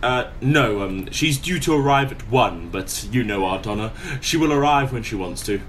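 An actor playing a pompous, inept posh British brother in a radio comedy, grandiose and formal but hesitant. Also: male voice, mid-range voice, expressive, moderate pace, a bit bright, slight background noise, natural voice. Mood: hesitant, fussy. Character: pompous, inept posh brother running a failing antique shop.

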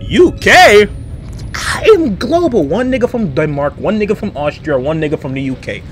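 Animated young man streaming a game. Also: sits high, normal speed, faint music behind. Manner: loud, animated, joking, repeats phrases. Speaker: gaming streamer. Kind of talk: casual let's-play commentary. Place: small room indoors. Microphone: headset.

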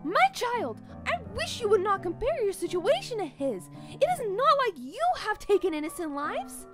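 A woman voicing a worried, motherly goat monster in a comic dub, speaking formally and gently. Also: very high-pitched, sing-song, normal speed, loud music behind the voice. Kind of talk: amateur comic dub acting.

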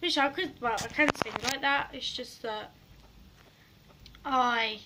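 A woman's voice: sits high, slow pace, background noise. A teenage girl, losing her voice, chatting and rambling in a giggly selfie vlog in her bedroom. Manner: rambling, giggly, drifts loud and soft. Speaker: vlogger. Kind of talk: casual selfie vlog chat. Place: small bedroom indoors. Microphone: phone camera at arm's length.

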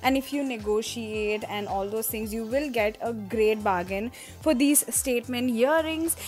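A young woman talks to her viewers in a bright, fairly high voice, upbeat and chatty as she browses market stalls. She speaks close to a handheld camera, with soft music faintly underneath. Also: a little slow. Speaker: fashion vlogger. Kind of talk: casual shopping vlog. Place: outdoor street market and indoor shopping arcade.

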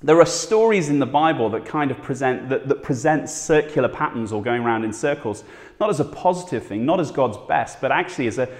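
A man in his thirties preaching straight to camera from his living room, earnest and chatty, gesturing as he goes. his voice sits at an ordinary middle pitch, and a long echo hangs in the room behind every phrase. he sounds like someone speaking to a congregation through a clip-on mic. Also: lively intonation, fairly quick. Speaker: pastor. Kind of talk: home video sermon. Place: small home living room, indoors.

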